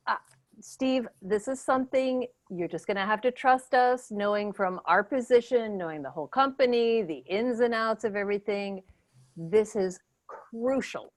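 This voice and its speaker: A woman's voice: medium pitch, natural voice. A woman playing an earnest, upbeat job interviewer in improvised sketch comedy, with an encouraging, mock-serious corporate manner.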